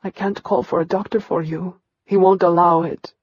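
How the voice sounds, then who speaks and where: A woman's voice: fairly low pitch, fairly even intonation, normal speed, dry recording, lo-fi sound, lightly acted. A woman voicing the commander's sympathetic wife, speaking in a raised, earnest tone. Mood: sad.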